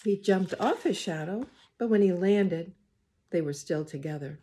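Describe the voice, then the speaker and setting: A woman reading a picture book aloud to young children, expressive and gently pausing to speak to her listeners. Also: on the low side. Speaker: storybook reader, school well-being coordinator.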